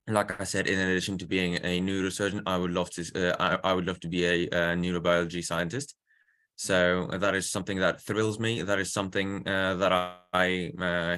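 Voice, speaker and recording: A man in his late teens with a Polish accent, answering interview questions earnestly and haltingly, often repeating words as he searches for phrasing. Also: low-pitched, sharp tone, smooth. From Poland. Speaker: student interview guest.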